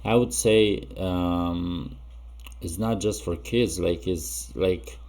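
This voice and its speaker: Deep gravelly guy from romania reacting to videos, slow halting talk, lots of ums. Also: a little mellow. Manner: musing, halting, with many fillers and restarts. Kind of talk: casual reaction commentary.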